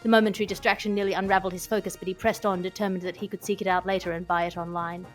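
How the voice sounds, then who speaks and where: A woman's voice: fairly low pitch, flat delivery, racing, faint music behind, close to an everyday voice. A woman performing an earnest, florid narrator of a mock period-romance audiobook, dramatic in delivery.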